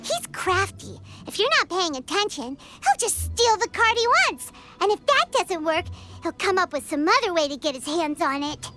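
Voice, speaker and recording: A woman voicing a small childlike fairy companion in a video game, squeaky and excitable, referring to herself in the third person. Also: moderate pace, faint music behind, heavily acted. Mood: chatty, confident. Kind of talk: video game character acting.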